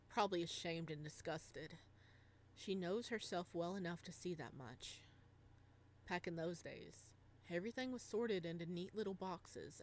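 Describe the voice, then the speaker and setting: A woman's voice: lowish voice, slight background noise. A bubbly, giggly woman hosting a livestream, chatting casually with viewers and reading a visual novel aloud. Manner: bubbly, giggly, rambling reactions to chat and story. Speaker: livestreamer and host.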